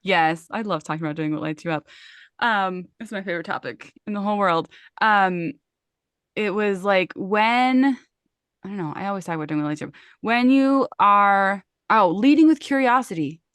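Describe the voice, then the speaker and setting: A chatty woman co-host talks in a loose, casual way, laughs often and drifts off her point now and then. Her voice sits at a middle pitch. The recording is dry and close, with no room sound. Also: moderate pace, fairly bright tone, clear, resonant tone, dry recording. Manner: chatty, loses her train of thought, laughs easily. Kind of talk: casual chat.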